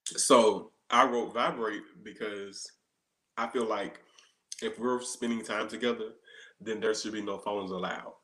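A man's voice: on the low side, steady tone, measured pace, bright, forceful tone. A man in his thirties chatting casually as an interview guest, explaining his songs as a singer-songwriter. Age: 30s. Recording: roomy, echoey sound.

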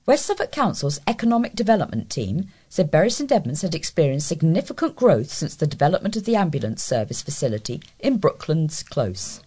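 A woman's voice: low voice, big pitch swings, a little fast, few pauses, warm tone, breathy edge. A woman with a British accent reading scripted local news as a volunteer newsreader.

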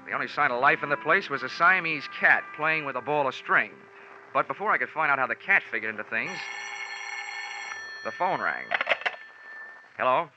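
An American actor playing a tough, wisecracking insurance investigator in a 1940s radio detective drama, with sardonic, clipped delivery. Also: male voice, mid-range voice, lively intonation, moderate pace, pauses often, lightly acted. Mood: businesslike, urgent. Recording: dull vintage 1940s radio recording, faint music.

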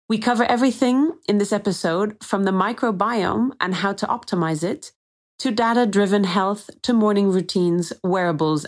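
A woman hosts a podcast and opens with an eager scripted introduction of her guest. She then moves on to welcoming, upbeat questions. Her pitch sits in a plain middle range. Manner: enthusiastic, welcoming. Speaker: podcast host.

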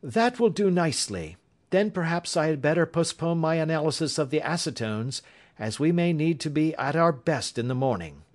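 A man reading an audiobook, voicing a sharp, aloof male detective in a crisp, assured and clipped manner. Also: fairly high pitch, animated, normal speed, voice pushed up, lightly acted. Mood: brisk.

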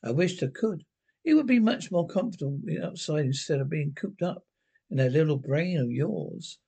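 A sly, smug inner voice that taunts and drags out its words, as a man puts it on. It sounds knowing and mocking, with a fairly high voice. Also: lively intonation, moderate pace, warm tone, husky.

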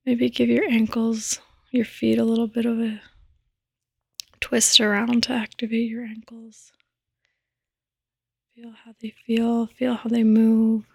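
A woman gently guiding a sleep meditation in a soft, breathy, soothing voice that often nears a whisper, close to the microphone. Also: on the high side, monotone, slow, long pauses, no room sound. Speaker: podcast host and meditation guide. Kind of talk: guided meditation, sleep podcast.

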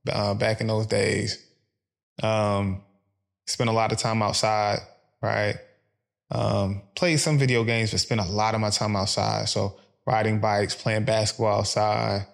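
A man from Philadelphia answering interview questions in a low, gravelly voice. He talks in a level, even tone, calm and measured, pausing often as he gathers his thoughts. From Philadelphia, USA. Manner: calm, measured, with frequent pauses and fillers. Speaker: podcast guest. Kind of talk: reflective interview conversation.

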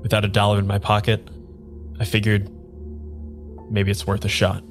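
Low-pitched male actor as weary, lost amnesiac seeker for a noir radio play, measured and halting, music underneath. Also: monotone, natural voice. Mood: brooding, lost. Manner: measured, dramatic pauses when narrating, hesitant in dialogue. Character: bewildered amnesiac man, weary noir narrator seeking answers. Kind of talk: improvised noir radio drama narration.

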